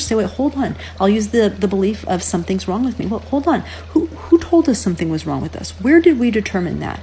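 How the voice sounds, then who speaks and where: A woman's voice: medium pitch, mellow, a little hiss or hum, rough recording. A woman giving relationship advice in a casual, earnest coaching tone, posing rhetorical questions.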